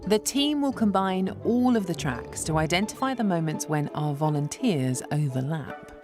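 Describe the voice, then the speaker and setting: Documentary presenter, woman, deep for her sex, measured delivery, music playing under her. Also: lively intonation. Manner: clear, measured explaining. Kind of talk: scripted documentary narration. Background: background music.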